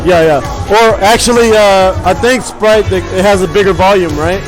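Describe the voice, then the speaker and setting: High-pitched American male, casual esports commentator, informal banter, music behind. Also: normal speed. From United States. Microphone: event commentary mic.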